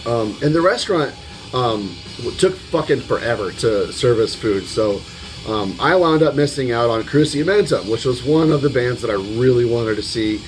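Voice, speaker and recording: A man with a slightly hoarse voice giving a casual vlog recap, rambling and hesitant, with the odd casual swear word. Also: mid-range voice, animated, moderate pace, poor audio. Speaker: music vlogger. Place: at home. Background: metal music playing in the background.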